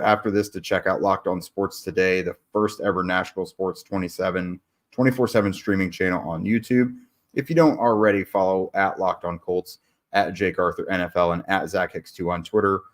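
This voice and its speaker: A man's voice: deep voice, fairly even intonation, a little fast. A man hosting a sports podcast, recapping a game in a casual way with lots of fillers and restarts while losing his voice. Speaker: podcast host.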